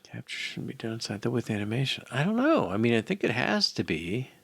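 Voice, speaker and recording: A man's voice: mid-range voice, huge pitch swings, fairly quick, creaky, fairly bright tone, slightly rough, no echo. An older man thinking aloud as he programs, in a casual, hesitant mutter to himself. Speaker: programmer live-coding a mobile app. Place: small indoor room at a desk.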